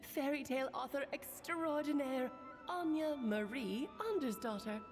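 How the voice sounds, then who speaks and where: Game witch aunt: high-ish, breezy, grandly theatrical storyteller, light music behind. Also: a little slow. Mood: playful. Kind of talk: video game character acting.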